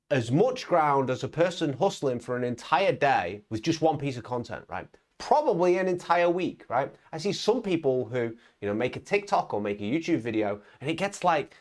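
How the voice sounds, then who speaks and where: Energetic man with animated delivery. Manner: emphatic, lively pitch swings, frequent "right?" tags and stumbling restarts. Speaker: vlogger on online business. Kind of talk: casual motivational monologue.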